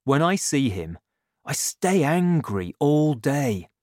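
A man voicing a lower-voiced, scheming father. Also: big pitch swings, slow, dry recording, clean studio sound. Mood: resentful. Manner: lowered, softer voice, confiding. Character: warm, scheming father, quietly resentful. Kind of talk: audiobook character voice.

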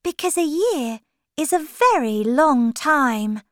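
A woman's voice: sits high, expressive, takes it slowly, dry recording, studio quality, voice pushed up. A woman voicing a polite but indignant young girl in a children's story, with careful, spaced-out words. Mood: puzzled. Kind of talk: slow children's storybook character voice.

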